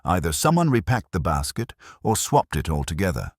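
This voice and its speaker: A man's voice: sits low, big pitch swings, fairly quick, dry recording, studio quality, voice pushed up. A man voicing a keen, plain-spoken detective sidekick who questions and reasons in a mystery story. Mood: puzzled.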